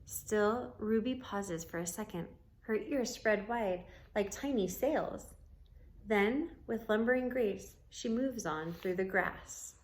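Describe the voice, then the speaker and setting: Slow-paced woman reading a storybook aloud. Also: mid-range voice. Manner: expressive, commenting to young listeners. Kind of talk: read-aloud storybook narration with asides. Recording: roomy, echoing room sound.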